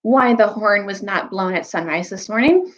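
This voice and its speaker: A woman's voice: mid-range voice, lively intonation, normal speed, natural voice. An embattled, indignant young king from a children's storybook, voiced by a woman reader. He argues with angry insistence. The recording is slightly lo-fi.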